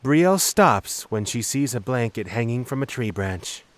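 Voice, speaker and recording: A man's voice: medium pitch, lively intonation, moderate pace, no room sound. A man narrating a scripted fantasy story in the third person as its narrator.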